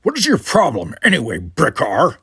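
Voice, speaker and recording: A man's voice: medium pitch, wildly swooping pitch, moderate pace, creaky, a bit bright, breathy edge, no room sound, studio quality, heavily acted. A man voicing a proud, hot-tempered young Klingon cadet in an audiobook, snarling through gritted teeth. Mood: angry, demanding.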